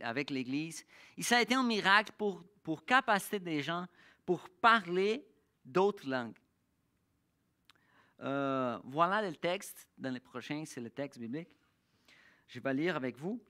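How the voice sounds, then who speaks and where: Bright-voiced halting preacher. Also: mid-range voice. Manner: halting, with frequent restarts and searching pauses; slows down to read scripture aloud. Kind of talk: sermon with Bible reading. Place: church.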